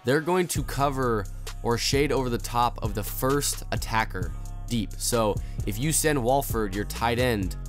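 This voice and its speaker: A young man with a hoarse, somewhat low voice walks through a football video game play by play. His delivery is relaxed and explanatory, full of fillers, with faint music playing underneath. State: hoarse from illness. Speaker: gaming video host. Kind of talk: casual gameplay tutorial commentary.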